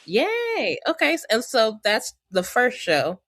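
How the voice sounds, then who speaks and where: A woman's voice: fairly high pitch, huge pitch swings, slow, fairly bright tone. A woman hosting a casual podcast interview, encouraging her guest with short reactions and questions.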